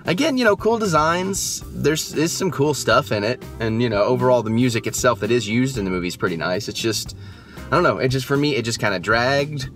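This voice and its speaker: A young man talks through his thoughts on a film he has just seen, in a casual and hesitant way. He rambles, fills his pauses with ums and you-knows, and restarts his sentences often. Soft music plays faintly underneath. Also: mid-range voice, expressive. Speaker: movie reviewer, channel host. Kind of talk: casual post-movie review chat. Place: just back from the theater, at home.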